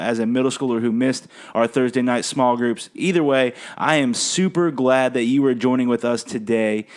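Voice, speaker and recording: A young man speaking in a friendly, informal, encouraging way as a pastor hosting a family chat. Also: medium pitch. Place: family kitchen.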